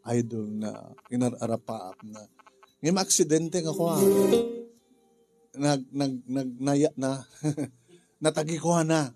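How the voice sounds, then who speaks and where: A man with an Ilocano Filipino accent talking casually through a drama story in a halting, rambling, jokey way. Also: mid-range voice, loud music behind the voice. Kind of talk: casual spoken story briefing.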